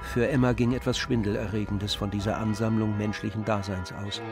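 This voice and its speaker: A man's voice: lowish voice, level tone, a little fast, over music, natural voice. A man narrating a literary radio play as a composed storyteller.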